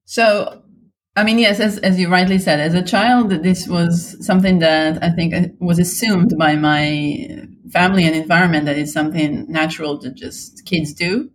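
A woman from Israel, a guest artist answering interview questions in a thoughtful, hesitant way, with many pauses and ums. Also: on the low side, fairly even intonation.

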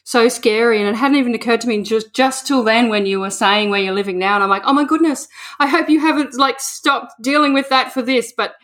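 A woman's voice: a little high, brisk, hardly any pauses, a bit bright. Brief: woman host, chatty interviewer, loose rambling questions. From Australia. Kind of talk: casual interview.

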